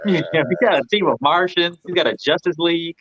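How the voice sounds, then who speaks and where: A man's voice: on the high side, brisk, a crowd in the background. A man in casual, joking banter about films, with an irreverent, sweary way of talking. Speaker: film review panelist. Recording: slightly dull, lo-fi audio.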